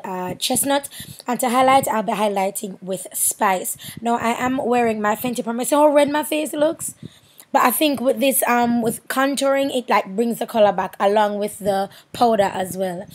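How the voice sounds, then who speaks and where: Hoarse Jamaican woman chatting. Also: a little high. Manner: chatty, rambling with many fillers. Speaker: makeup artist. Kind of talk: casual tutorial voiceover.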